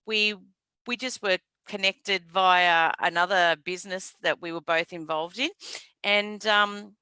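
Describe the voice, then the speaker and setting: A woman's voice: medium pitch, steady tone, unhurried, pushed and bright, smooth, no echo. A woman hosting a live online interview, introducing her guest and asking questions in an upbeat, encouraging way. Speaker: host, interviewer.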